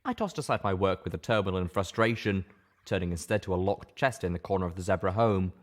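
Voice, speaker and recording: A man's voice: sits low, quick pace. A man reading scripted first-person fiction aloud as an audiobook narrator.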